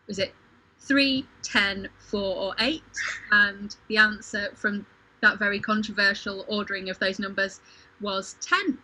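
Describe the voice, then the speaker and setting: Bright-voiced woman hosting a casual quiz. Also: medium pitch, steady tone, a little slow, low-quality recording. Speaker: quiz host. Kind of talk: casual livestream quiz chat. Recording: home livestream video call.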